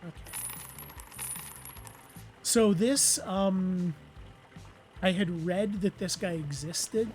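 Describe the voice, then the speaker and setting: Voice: medium pitch, very slow, pauses often, darkish tone, soft background music. A let's play host giving casual gameplay commentary, rambling with lots of fillers and self-deprecating asides, voice weakened and strained from losing it.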